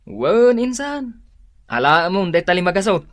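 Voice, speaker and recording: An actor with an Ilocano Filipino accent playing a friendly, obliging single farmer in a radio drama. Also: male voice, sits high, very animated, measured pace, no room sound. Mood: easygoing.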